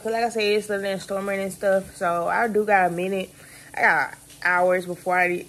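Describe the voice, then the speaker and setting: A young woman, vlogging casually from her bedroom into a phone at arm's length, rambling and relaxed, calling her viewers y'all. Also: mid-range voice, slight background noise. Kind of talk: casual day-in-my-life vlog. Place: bedroom at home, indoors; later in a car in heavy rain. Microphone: phone camera held close at arm's length.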